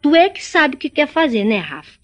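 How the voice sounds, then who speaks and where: A woman's voice: sits high, very animated, brisk, highs cut off. A woman playing a stubborn, teasing young rural daughter in a radio drama, with a Brazilian accent. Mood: stubborn, exasperated.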